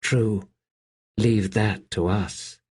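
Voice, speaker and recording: A man's voice: fairly low pitch, steady tone, drawn-out words, a little mellow, slightly rough, heavily acted. Man doing a weary, wry soldier. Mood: flat. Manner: dry, sardonic, urgent when gasping. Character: weary, wry male soldier, rough and dry-humored. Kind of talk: audiobook character voice.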